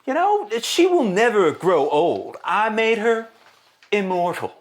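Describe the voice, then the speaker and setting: A smarmy indie film director in an audio drama interview. His voice sits fairly high for a man and swings expressively. He is glib, boastful and far too familiar, forever selling himself. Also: big pitch swings, slow pace, exaggerated voice. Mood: boastful, self-justifying. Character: smarmy, sleazy, self-important male indie film director. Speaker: actor playing an interview guest. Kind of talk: audio drama acting as a podcast interview guest.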